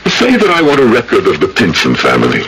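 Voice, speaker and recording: Deep-voiced, secretive gentleman photographer. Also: huge pitch swings. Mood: courteous, secretive. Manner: measured, formal, theatrical. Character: secretive, philosophical, courteous gentleman photographer with hidden purpose. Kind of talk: old-time radio drama acting. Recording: noisy old radio recording.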